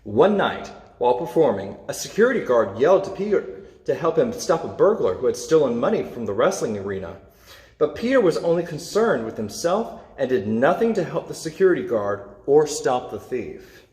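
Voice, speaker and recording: A man reading a children's superhero story aloud, with expressive, dramatic emphasis. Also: medium pitch, moderate pace. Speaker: storybook reader. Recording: echoey, reverberant room.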